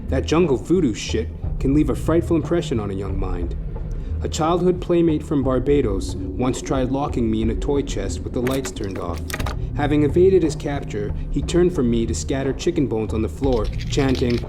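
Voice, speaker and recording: A man's voice: medium pitch, animated, moderate pace, background noise. A man hosting a comedy podcast, delivering a scripted monologue in a theatrical, florid, mock-grandiose and crude manner. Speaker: comedy podcast host and narrator. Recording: music bed under the voice. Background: music.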